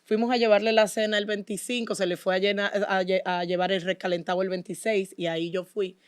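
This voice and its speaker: A woman from the Dominican Republic shares a personal testimony in conversation, with a Dominican accent. Her voice sits at a middle pitch and sounds dry, with very little room around it. She tells her story earnestly and with devotion, as a guest being interviewed. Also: little pitch movement, fast talker, smooth, dry recording. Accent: Dominican Spanish.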